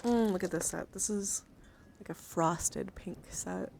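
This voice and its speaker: A woman livestreamer chatting casually in an ASMR style, halting and rambling as she thinks aloud. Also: mid-range voice, vocal fry, darkish tone, a little hiss or hum. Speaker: livestreamer showing a dice collection. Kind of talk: casual ASMR chat to viewers.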